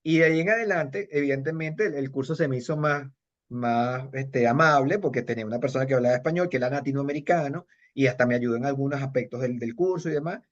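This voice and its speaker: A man's voice: mid-range voice, fast. A middle-aged Venezuelan man with a Venezuelan Spanish accent, telling stories in a casual interview, rambling with many fillers and restarts. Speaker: kung fu master and judge, interviewee. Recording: dull lo-fi audio.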